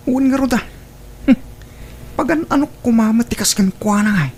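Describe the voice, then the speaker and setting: An actor playing a scheming young suitor in an Ilocano audio drama, persuasive and conversational, with an Ilocano accent. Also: male voice, very high voice, a little slow, a little mellow, a little hiss or hum. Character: scheming young suitor, smooth-tongued gold digger.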